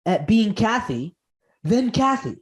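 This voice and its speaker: A man's voice: high-pitched, big pitch swings, takes it slowly, low-quality recording. A man talks as a guest on a podcast. He tells stories with enthusiasm, cracks jokes and laughs easily. He often restarts his words as he goes. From Costa Rica. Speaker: guest comedian and speaker. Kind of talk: animated podcast storytelling.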